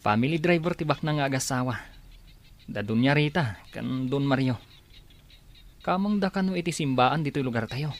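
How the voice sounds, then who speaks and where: A man's voice: mid-range voice, lively intonation, moderate pace, long pauses, no echo, lightly acted. A man acting an earnest, modest young driver in an Ilocano radio drama.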